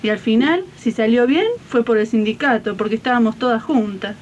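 A woman's voice: brisk, darkish tone, lightly acted. A woman playing an agreeable, practical Argentine domestic worker in a 1980s radio drama, with a colloquial way of talking. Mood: earnest. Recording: muffled archive recording, faint rumble.

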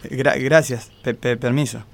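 A man's voice: mid-range voice, fairly even intonation, creaky, close to an everyday voice. Actor as a stuttering, insecure Argentine man. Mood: nervous, halting. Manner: heavy nervous stutter, halting and repetitive. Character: insecure, lovelorn, short homely man, a detective's client. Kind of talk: comic radio play acting.

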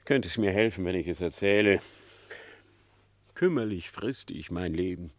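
An actor playing a sly fox trickster in a classic radio play, flattering and falsely humble, drawing out his phrases unctuously. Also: deep voice, no room sound, clean studio sound. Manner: unctuous, feigned meekness, drawn-out phrases.

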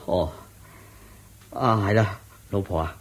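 A man's voice: low voice, slow, slight background noise. An actor playing a poor, devout, worried young husband and father in a radio drama, sighing and earnest, with a Hong Kong Cantonese accent. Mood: gentle. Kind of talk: Cantonese-language Buddhist radio drama acting.

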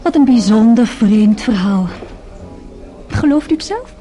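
A poised young female secretary in a radio drama. Her voice is mellow and slightly high, and she speaks with dry, measured wit and cool teasing. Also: lively intonation, soft background music. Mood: playful, dry. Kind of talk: radio play acting.

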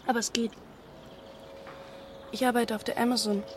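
Dry, probing female researcher played by a woman. Higher register, natural radio drama delivery, clear background noise. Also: level tone, moderate pace, natural voice. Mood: dry, wary. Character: cool, dryly teasing female neural researcher.

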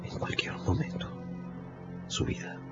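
An actor in a radio drama playing a dissolute, self-loathing gentleman in love, speaking haltingly and fervently with a gravelly voice. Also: lightly acted. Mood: anguished devotion. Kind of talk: Spanish-language radio drama acting. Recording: dull lo-fi audio under loud music.

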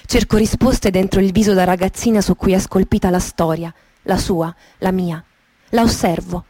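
A woman gives a dramatic literary reading in the manner of a radio theatre monologue, intimate and poetic, with deliberate pauses. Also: medium pitch, fairly even intonation, mellow. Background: music with guitar and sound design.

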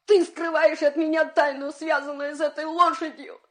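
Female, high voice: headstrong, nagging country wife, theatrical, for a fairy-tale radio play, actress in role. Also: flat delivery, normal speed, lightly acted. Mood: accusing, whining. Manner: whiny, theatrical. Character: stubborn, nagging, dramatically complaining farmer's wife. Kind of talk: audio play acting.

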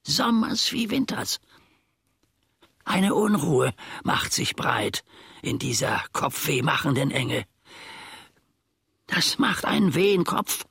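Brooding old servant for radio drama: bright, rasping edge, slow mannered phrasing falling into whispers, bitter and scheming. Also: male voice, fairly high pitch, sing-song, no room sound, clean studio sound, full character voice. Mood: fretful lament. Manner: mannered, dropping into whispers, archaic stilted diction. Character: aggrieved, brooding old manservant scheming against his master. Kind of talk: theatrical German radio play monologue.

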